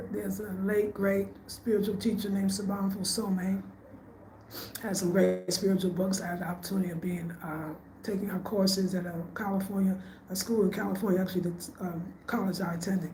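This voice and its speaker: Mellow-voiced woman in her late fifties. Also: medium pitch, level tone, brisk, slight background noise. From United States. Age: 50s. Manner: chatty and reflective, addresses viewers as y'all. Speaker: vlogger. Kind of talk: casual chat to viewers. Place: home room in Ghana. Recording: echoey room, faint ambience.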